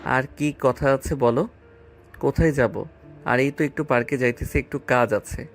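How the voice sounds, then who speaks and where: A man's voice: mid-range voice, moderate pace, natural voice. A man playing a cocky, carefree young student boyfriend in an audio love story, with a casual, offhand manner. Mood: casual, puzzled. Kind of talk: acted dialogue in an amateur audio love story. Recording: voice over background music.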